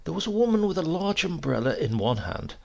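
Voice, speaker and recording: Male storyteller for humorous fiction: heavily creaky, husky, animated intonation, scripted narration. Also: a little high, brisk, dull audio. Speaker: audiobook narrator.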